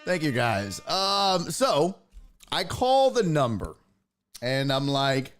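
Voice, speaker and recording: A man's voice: on the high side, big pitch swings, slow pace, a bit bright, soft background music. A man hosting a casual livestream chat, telling a joking story and reading out messages from chat. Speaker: streamer and sports talk host.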